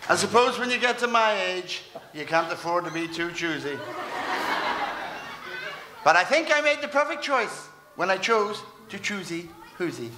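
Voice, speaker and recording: Man as a swooping, high-voiced ageing pantomime character. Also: big pitch swings, normal speed, a crowd in the background. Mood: comic, mock-rueful. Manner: comic, playful wordplay. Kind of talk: pantomime stage acting. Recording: echoey noisy theatre with audience.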